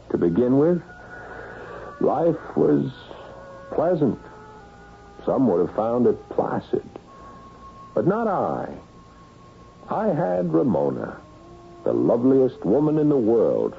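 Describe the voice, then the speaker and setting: An actor in a radio mystery playing a meek, put-upon office worker and husband, halting and mild, who confesses to murder. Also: male voice, medium pitch, wildly swooping pitch, gravelly, exaggerated voice. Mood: wistful, narrating. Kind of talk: radio mystery acting with first-person narration. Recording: tinny, hissy old radio broadcast recording.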